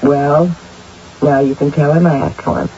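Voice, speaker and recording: A woman's voice: low voice, fairly even intonation, normal speed, dark tone, lightly acted. An actress playing a lonely, guilt-ridden, sleepless middle-aged mother in a theatrical, fretful 1970s radio drama style. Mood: weary, insistent. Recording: dull, faintly noisy vintage radio recording.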